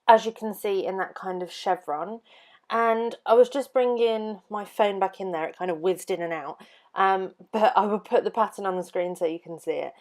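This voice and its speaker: A woman's voice: medium pitch, a little mellow. A young adult woman with an English accent, chatting casually through a papercraft tutorial voiceover with plenty of ums. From England. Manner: chatty, lots of ums, laughs easily. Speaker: papercraft YouTuber.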